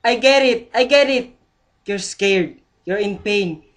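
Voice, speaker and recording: A man with a Filipino accent playing a caring father who gives earnest, slow advice. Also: sits high, a bit bright. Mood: urging. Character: caring father of a grown son, encouraging. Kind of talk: amateur audio drama acting.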